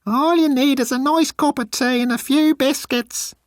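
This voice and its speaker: A man voicing a squeaky, encouraging old grandmother for a comic audiobook. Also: steady tone, moderate pace, no echo, studio quality. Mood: coaxing.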